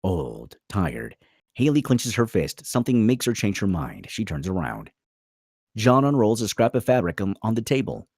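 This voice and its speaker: Husky, very animated male narrator. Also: lowish voice, moderate pace, no room sound, studio quality. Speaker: narrator reading stage directions. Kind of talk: dramatic scripted narration at a table read.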